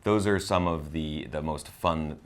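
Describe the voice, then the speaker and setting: Low-voiced man, casual and slangy. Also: a little slow, slight background noise. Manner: enthusiastic, slangy. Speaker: co-host of a movie review show. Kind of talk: casual chat, film discussion.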